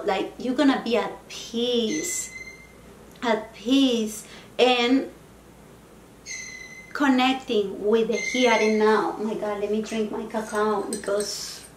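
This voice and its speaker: A woman's voice: fairly high pitch, echoey room, a little hiss or hum. A young woman with a Latin American Spanish accent, a native Spanish speaker, talking casually and playfully to her viewers about self-care, dropping Spanish words into her English. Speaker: vlogger. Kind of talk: casual self-help talk to camera.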